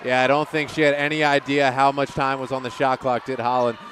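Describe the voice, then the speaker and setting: A man's voice: medium pitch, level tone, brisk, fairly bright tone. A man giving casual, conversational color commentary on a live basketball game, explaining each play. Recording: faint basketball arena noise.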